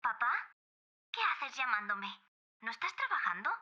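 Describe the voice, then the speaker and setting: Defensive young daughter, very high pitch, intensely bright tense tone, horror radio fiction. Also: female voice, sing-song, normal speed, lo-fi sound, exaggerated voice. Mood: surprised. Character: irritated young daughter defending herself to her father. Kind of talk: audio drama dialogue.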